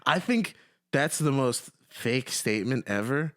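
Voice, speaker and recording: A man's voice: medium pitch, expressive, unhurried, fairly bright tone, dry recording, clean studio sound. A young man co-hosting a podcast, chatting casually, teasing his friend and laughing often as he acts out quotes. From Syria. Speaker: podcast co-host. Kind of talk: casual chat.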